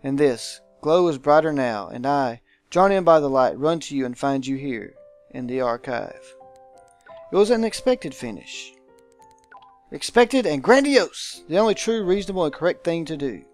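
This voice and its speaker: Man voicing theatrical guardian, visual novel villain, grandiose, menacingly fond, deliberate delivery, faint background music. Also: on the high side, expressive. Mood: grandiose joy. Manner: pompous, dramatic, deliberate.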